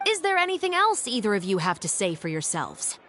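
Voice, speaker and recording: A stern, dry officer. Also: female voice, mid-range voice, huge pitch swings, brisk, a bit bright, a little hiss or hum. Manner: level and dryly teasing. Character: stern, wry young woman patrol officer.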